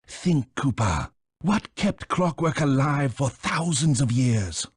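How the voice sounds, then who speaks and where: A man's voice: mid-range voice, very animated, slow, slightly rough, dry recording, studio quality, exaggerated voice. A man playing a pompous, condescending, scheming small bird villain with a British accent in a video game, speaking theatrically. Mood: gloating, lecturing.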